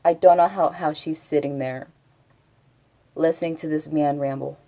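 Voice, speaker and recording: Woman streamer, low voice, dry close sound, rambling casual chatter to her viewers. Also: fairly even intonation, moderate pace, mellow, no echo. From Texas. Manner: chatty, rambling, answers chat. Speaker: Twitch streamer. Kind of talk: casual live-stream chat.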